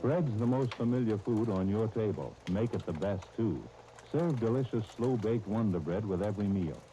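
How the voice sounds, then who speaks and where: A man's voice: on the low side, moderate pace, mellow, muffled recording, a little hiss or hum. A man reading scripted commercials and announcements as a 1930s radio announcer, on a vintage broadcast disc.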